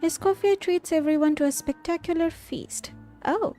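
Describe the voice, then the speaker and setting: Gentle young woman, high and mellow. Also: normal speed. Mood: pleased. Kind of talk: video game voice acting. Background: music.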